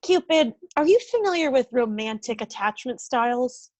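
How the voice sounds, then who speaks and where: A woman plays an eager psychologist of thirty in a comedy script read. Her voice is high, and she speaks in an earnest, polite way. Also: lively intonation, normal speed, darkish tone, lightly acted.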